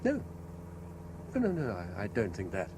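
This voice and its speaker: Earnest, flustered lawyer, animated voice. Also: male voice, mid-range voice, moderate pace. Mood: polite, hesitant. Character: earnest, flustered bachelor French lawyer, gentlemanly and insistent. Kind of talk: 1970s BBC radio drama acting. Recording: noisy old 1970s radio broadcast.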